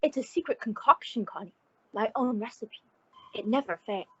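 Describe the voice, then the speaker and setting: Actress as good-natured busybody landlady, old radio sitcom, animated high female voice, dry dull recording. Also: normal speed, breathy edge, no echo, exaggerated voice. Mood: earnest, fussy. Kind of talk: old-time radio comedy acting. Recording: dull, muffled audio.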